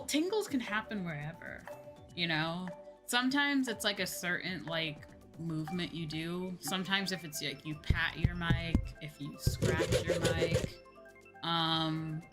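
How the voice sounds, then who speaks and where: A woman's voice: fairly low pitch, normal speed, a bit bright. A woman streamer chatting casually with viewers as she games, rambling, repeating phrases and swearing freely. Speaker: streamer, VTuber host. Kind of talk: casual chat with viewers while gaming. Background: video game music.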